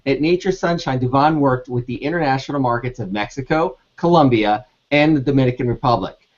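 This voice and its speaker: A man co-hosting an online hangout, reading a guest introduction in a relaxed, steady way. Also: medium pitch, fairly quick. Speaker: co-host. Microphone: video call. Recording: muffled lo-fi video call audio.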